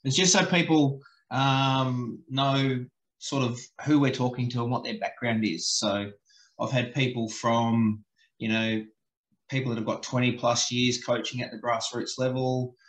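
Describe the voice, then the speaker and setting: A man's voice: mid-range voice, steady tone, measured pace. Male host on a headset video call, roomy echo, casual and matey. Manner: friendly, informal, says mate, hesitant fillers. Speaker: podcast host. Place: home office indoors.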